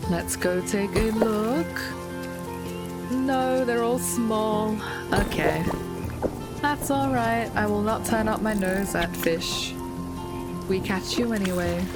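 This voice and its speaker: A woman with a slightly hoarse voice, chatting casually through her gameplay with light puns and frequent ums. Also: mid-range voice. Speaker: gaming video creator. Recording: loud game music with rain sounds behind.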